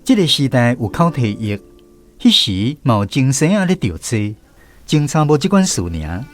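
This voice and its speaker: A man's voice: medium pitch, sing-song, normal speed, faint music behind. A man with a Taiwanese accent narrating a scripted radio drama as a storyteller.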